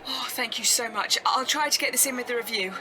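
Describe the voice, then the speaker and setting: A woman acting an earnest, self-deprecating young woman in an audio drama, with an excitable, gushing manner. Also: a little high, brisk, slight background noise, low-quality recording. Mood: eager, shy.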